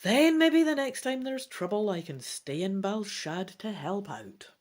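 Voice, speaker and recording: A woman's voice: medium pitch, expressive, measured pace, darkish tone, close to an everyday voice. A woman voicing a sulky, complaining young male apprentice wizard in a dramatic fantasy gamebook reading. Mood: obedient, dejected. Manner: petulant, complaining.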